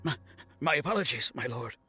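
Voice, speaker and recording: A man's voice: mid-range voice, animated, a little fast, soft background music. A man playing a deferential, eager soldier in an anime video game. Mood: apologetic. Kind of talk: anime-style game character acting. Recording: loud score behind.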